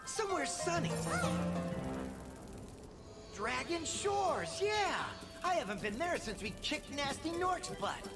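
A cocky young dragon. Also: male voice, very high-pitched, very animated, slow, long pauses, heavily acted. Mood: upbeat. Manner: cheeky, wisecracking. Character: cocky young male purple dragon, brash. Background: game music.

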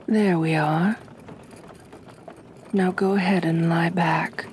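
Woman playing a slow, soft-spoken vampire mistress. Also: on the low side, fairly even intonation, slight background noise. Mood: gentle, soothing. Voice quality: soft, breathy, often near-whispered. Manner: gentle, soothing, genteel, formal phrasing. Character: kind but possessive aristocratic female vampire mistress. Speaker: ASMR roleplay performer. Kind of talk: soft-spoken ASMR roleplay.

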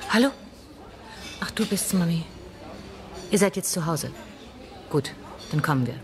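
A woman's voice: fairly low pitch, animated, a little slow. An actress in a German radio thriller playing a patient, affectionate mother of a small child. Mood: patient, gentle. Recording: busy café clatter and chatter behind.

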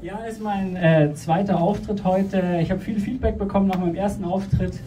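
A young man performs stand-up into a handheld mic on a small club stage. His voice is fairly high and his delivery deadpan, with hesitant fillers. It is caught from out in the room, roomy and a bit distant, with clear audience noise around it. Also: moderate pace. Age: young adult. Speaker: stand-up comedian. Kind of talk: stand-up comedy set. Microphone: handheld mic, filmed from the audience.

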